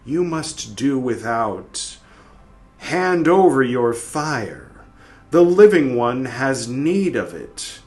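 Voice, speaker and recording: A man reading aloud as a solemn disembodied soul, questioning the dead slowly and gravely. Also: medium pitch, big pitch swings, darkish tone. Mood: stern. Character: solemn disembodied soul, male, stern and probing.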